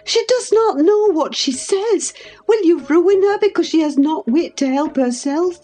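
Woman acting an agitated, high-pitched scolding housekeeper. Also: expressive, measured pace, mellow, soft background music. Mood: indignant scolding. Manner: urgent, exclamatory. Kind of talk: dramatized audiobook reading of a classic novel.

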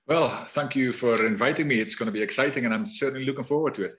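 A middle-aged man with a Dutch accent answers interview questions at an ordinary, middling pitch. He talks in a reflective way, often restarting his phrases, and he sounds as if he is on a remote call. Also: quick pace, fairly bright tone, slight background noise. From Netherlands. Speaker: guest. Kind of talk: conversational interview.

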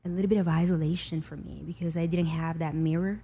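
A woman with an Italian accent talking reflectively as a podcast guest, often pausing mid-thought. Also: low voice, flat delivery, dark tone, breathy edge. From Italy. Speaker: podcast guest, spiritual healer and teacher. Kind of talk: reflective casual conversation.

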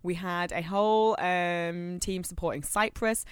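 A woman's voice: fairly low pitch, fairly even intonation, measured pace, clean tone, dry recording. A woman co-hosting a podcast, chatting casually and enthusiastically, laughing a lot. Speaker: podcast co-host.

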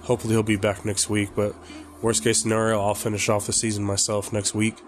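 Congested tired man, low flat voice. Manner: rambling, halting with fillers. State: congested, stuffy nose; exhausted from lack of sleep. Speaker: podcast host. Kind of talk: casual song reaction chat. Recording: music playing loudly behind him. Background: rock music.

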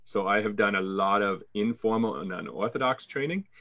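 Canadian man in his 30s, lowish register, confident interview guest. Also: normal speed, bright, forceful tone, highs cut off. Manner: confident, fluent storytelling with occasional restarts. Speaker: sales coach guest. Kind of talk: interview answers.

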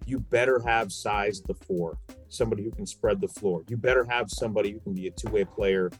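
A man's voice: on the low side, fairly quick, soft background music. A man talking basketball as a sports commentator, giving opinions emphatically and repeating phrases for stress. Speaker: sports commentator, podcast guest.

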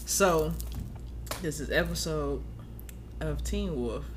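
A man's voice: fairly high pitch, expressive, takes it slowly, background noise. A man co-hosting a podcast in casual chat, with a teasing, informal, slangy way of talking. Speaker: podcast co-host.

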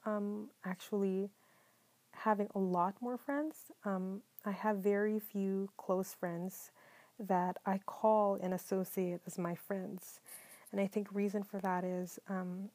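A woman from the Philippines hosting a solo podcast, talking in a calm, mellow way, partly whispered, with many ums. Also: mid-range voice, takes it slowly, no room sound. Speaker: podcast host. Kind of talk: soft-spoken solo podcast monologue.

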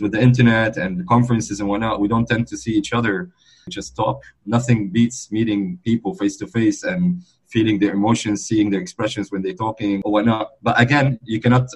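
Husky-voiced man from Lebanon, easygoing. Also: fairly low pitch, low-quality recording. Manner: easygoing, rambling, frequent "like" and "you know". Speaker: guest DJ. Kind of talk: relaxed conversational interview.

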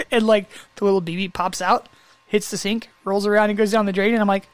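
Chatty male co-host, lively anecdotes, dry close sound. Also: sits high, fairly quick, clean tone, no room sound. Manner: animated storyteller, laughs often. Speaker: podcast co-host. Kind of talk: casual chat.

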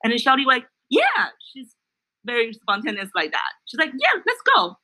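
Bright-voiced woman from China. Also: fairly high pitch, wildly swooping pitch, normal speed. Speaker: guest sharing travel stories. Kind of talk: casual personal storytelling.

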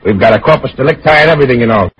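An actor playing a brusque, no-nonsense police detective in an old-time radio drama, curt and commanding. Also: male voice, mid-range voice, lively intonation, rapid-fire, a bit bright, lightly acted. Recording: muffled, hissy vintage radio recording.

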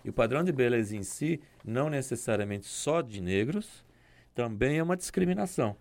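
A man speaking as a guest on a discussion program, explaining in a measured way with frequent restarts. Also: medium pitch, lively intonation.

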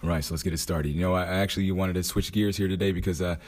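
A man hosting his own podcast, hoarse after a night out, talking casually and thinking aloud with frequent restarts. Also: low-pitched, fairly even intonation, rapid-fire, music in the background. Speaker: podcast host. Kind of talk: casual motivational monologue.

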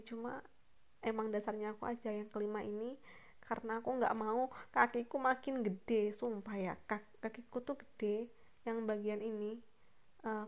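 A woman's voice: fairly high pitch, a little mellow. A young woman hosting a spontaneous solo podcast, telling a rambling, chatty story and often correcting herself. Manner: fast-talking. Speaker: podcast host.

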